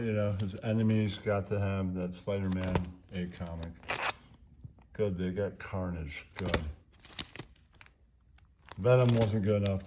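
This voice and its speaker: A man's voice: low-pitched, background noise, voice pushed down. A man playing a grudging comic book reviewer in a puppet comedy, muttering hesitantly.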